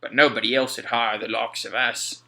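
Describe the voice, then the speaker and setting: A man doing a gruff, grumbling sailor, loud and forceful. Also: medium pitch, level tone, moderate pace, slight background noise. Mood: scornful. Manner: rough working seaman's talk. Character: gruff sailor Mac, cautious, grumbling, matter-of-fact working man.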